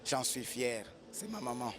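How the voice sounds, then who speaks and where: A man's voice: mid-range voice, very animated, a little slow, a crowd in the background. A man at a concert giving formal, emphatic praise in a street interview. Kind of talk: street vox pop.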